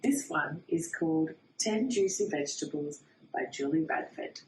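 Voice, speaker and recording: A woman reading a bedtime storybook to young children in a sing-song way, with dramatic pauses before key words. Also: low voice, expressive, darkish tone, slight background noise. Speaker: children's read-aloud host. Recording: roomy echo.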